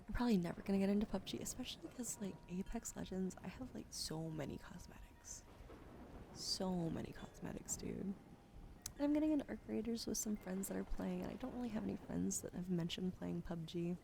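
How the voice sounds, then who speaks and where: A sleepy woman streaming ASMR with a sore throat, switching between soft whispers and casual chat about games into a close microphone. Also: medium pitch, a little fast, gravelly. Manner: soft whispering between chatty gaming talk. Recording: noisy stream audio.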